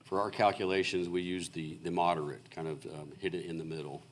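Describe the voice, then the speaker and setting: Deep-voiced male engineer, hoarse from losing his voice, walking a city board through a report, halting and filler-heavy. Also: little pitch movement, a little hiss or hum. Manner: halting and explanatory, many fillers and restarts. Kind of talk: technical presentation at a public meeting. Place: city council meeting room.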